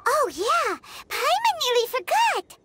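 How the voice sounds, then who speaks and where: A woman playing a tiny childlike fairy companion in a video game, squeaky, blunt and eager. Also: big pitch swings, slow, background noise, full character voice. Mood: cheerful, concerned. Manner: refers to herself by name, blunt, eager. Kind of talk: scripted video game dialogue.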